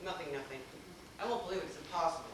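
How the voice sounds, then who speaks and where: A wry, unflappable gentleman played by a man teases with glib, theatrical formality. He talks quickly in a high voice on a theater stage, far from the mic in a very echoey space. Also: close to an everyday voice. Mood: puzzled, glib. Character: unflappable, teasing, worldly know-it-all gentleman, drily amused. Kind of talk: amateur stage acting in a comic one-act play. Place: small theater stage, recorded from the audience. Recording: echoey theater, distant mic, noticeable noise.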